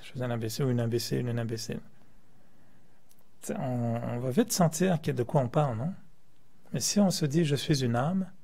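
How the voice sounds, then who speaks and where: A man's voice: mid-range voice, darkish tone. A man from France, a meditation teacher, gives a spiritual talk to a class in a playful, joking way and chuckles often.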